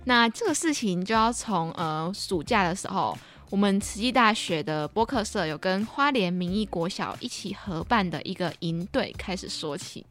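A woman's voice: mid-range voice, lively intonation, normal speed, few pauses, a bit bright. A young woman with a Taiwanese Mandarin accent hosting a podcast in a casual, chatty, giggly and self-deprecating way. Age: young adult. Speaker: podcast host. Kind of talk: casual chat. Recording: background music behind the voice. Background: music.

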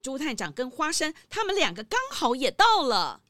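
一位女性旁白，以講故事的口吻為兒童廣播劇唸稿敘述。. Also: female voice, sits high, wildly swooping pitch, moderate pace, sharp tone, a little hiss or hum. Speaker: narrator. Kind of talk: scripted children's story narration.

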